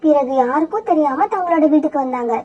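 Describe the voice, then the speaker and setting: A woman narrating a children's cartoon story in a steady, even way. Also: sits high, fast, muffled recording.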